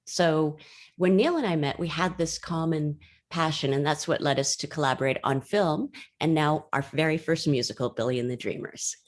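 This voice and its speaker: A woman from Canada, a musical's co-creator, answering interview questions in a soft, hesitant way. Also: low-pitched, moderate pace. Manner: soft-spoken, hesitant, with many fillers.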